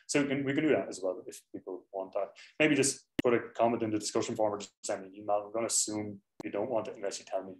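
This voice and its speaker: A man's voice: fairly low pitch, darkish tone. Man lecturing in an echoey hall. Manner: chatty, self-correcting, explains with examples. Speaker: university lecturer. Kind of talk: informal classroom lecture. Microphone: laptop mic on the desk.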